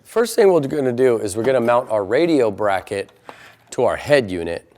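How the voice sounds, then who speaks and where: A man's voice: mid-range voice, big pitch swings, normal speed, darkish tone. A man presenting an installation tutorial in a methodical, plainspoken way, explaining each step in turn. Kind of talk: step-by-step instructional narration.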